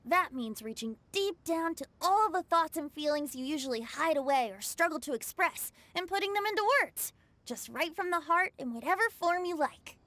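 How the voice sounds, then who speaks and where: A cheerful young bard of a game character, high and very lively in pitch. The delivery is breezy, earnest and encouraging, recorded close and dry. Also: female voice, normal speed, no room sound. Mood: warm encouragement. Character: cheerful youthful bard, earnest and playful host. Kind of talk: video game voice acting.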